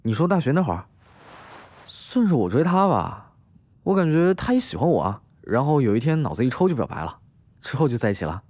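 A man's voice: medium pitch, sing-song, fairly quick, darkish tone, no echo. An actor playing a mild, thoughtful, easygoing young man in a Chinese audio drama. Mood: mild, reflective.

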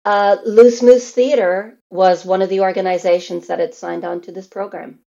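A woman's voice: mid-range voice, steady tone, moderate pace, creaky, warm tone, muffled recording. A woman from Canada telling stories casually as an interview guest, acting out what others might say. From Canada, grew up in Newfoundland and Alberta. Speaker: interview guest, theatre performer.

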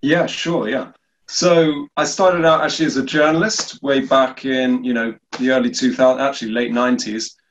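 A man from the UK answering interview questions in a relaxed way, with many fillers and restarts. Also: medium pitch, normal speed, a little hiss or hum, poor audio. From United Kingdom. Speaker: guest.

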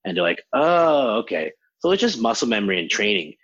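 Man, language teacher, husky voice, lively explaining, muffled audio. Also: fairly low pitch, brisk. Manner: animated explainer, acts out quotes. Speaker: language teacher, podcast guest. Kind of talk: conversational interview answers.

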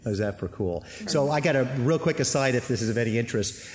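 A man's voice: medium pitch, animated, fast talker. A middle-aged man who grew up in Canada speaking Finnish first, a winery owner chatting casually with many fillers and restarts. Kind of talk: casual interview chat. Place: winery tank room. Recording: long reverb, faint ambience.

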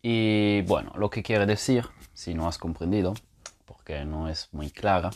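A man's voice: sits low, a little slow, slight background noise. A man teaching as a podcast host, explaining step by step and thinking aloud, with frequent fillers and restarts. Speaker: language teacher.

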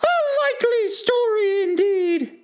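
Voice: a little slow, darkish tone. Shrieking, scolding mother pigeon. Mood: contemptuous accusing. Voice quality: shrieking, very high-pitched. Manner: scolding, plaintive, insistent. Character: shrill, indignant, distraught mother pigeon, accusing and contemptuous. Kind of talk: storybook character voice.

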